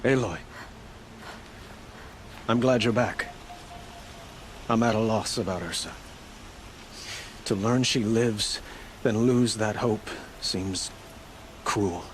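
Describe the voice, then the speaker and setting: A solemn, low-voiced courteous king. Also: slight background noise, poor audio. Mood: solemn sympathy. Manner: formal, measured.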